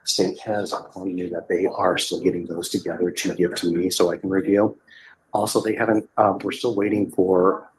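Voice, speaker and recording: A man speaking as defense attorney in a courtroom, measured and explanatory, with some fillers. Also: low-pitched, level tone, slight background noise, rough recording. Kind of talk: courtroom status report.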